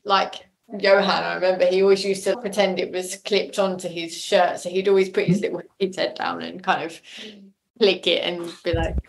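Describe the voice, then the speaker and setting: Enthusiastic woman, slightly low voice. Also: little pitch movement, normal speed, creaky, slight background noise, rough recording. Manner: enthusiastic, effusive. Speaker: podcast guest, audio drama maker. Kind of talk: casual interview chat.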